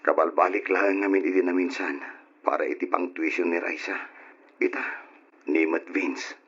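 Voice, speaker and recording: A man's voice: low voice, fairly even intonation, moderate pace, fairly bright tone, slightly rough. A man playing a tired, stubborn, proud father of a poor family in an old Ilocano radio drama. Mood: troubled. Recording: muffled lo-fi radio recording.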